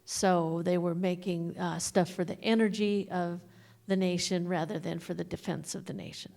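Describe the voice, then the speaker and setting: A woman's voice: lowish voice, steady tone, moderate pace, vocal fry, a little mellow. A woman on a panel giving a hesitant explanation to an audience, with many fillers as she goes. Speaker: panelist on local history. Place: museum meeting room.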